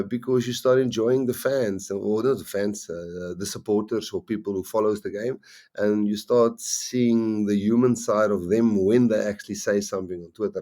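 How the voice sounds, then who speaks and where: A man's voice: fairly low pitch, a little mellow. A middle-aged man with a strong Afrikaans accent, a rugby coach chatting casually on a live stream, rambling and restarting his sentences often. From South Africa. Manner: rambling, halting, frequent restarts.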